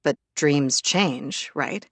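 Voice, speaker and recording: Woman voicing a low, calm, reassuring man. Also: extremely slow, vocal fry, slightly rough, no echo, exaggerated voice. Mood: gentle, searching. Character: warm, patient, wry man, calmly reassuring. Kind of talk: audiobook character dialogue.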